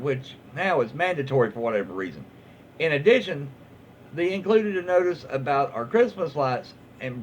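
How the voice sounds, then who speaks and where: A man with a Southern American accent casually reading online stories aloud, folksy and informal, stumbling over words and adding off-the-cuff commentary. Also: on the high side. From southern United States. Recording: muffled lo-fi audio, faint background noise.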